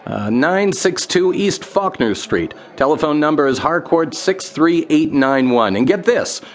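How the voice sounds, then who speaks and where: A man's voice: fairly high pitch, animated, measured pace. An American actor playing a savvy male private detective in a radio drama, colloquial and matter-of-fact. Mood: matter-of-fact, confident.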